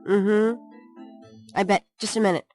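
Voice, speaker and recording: An actress playing a sharp-tongued young woman who works in a bookshop, teasing and sarcastic in a studio adventure game. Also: mid-range voice, fairly even intonation, a little slow, natural voice. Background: game music.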